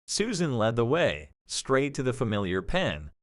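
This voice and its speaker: A male narrator reads a scripted story. His intonation moves expressively around a medium pitch. The recording is dry, with very little room sound. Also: big pitch swings, measured pace, dry recording.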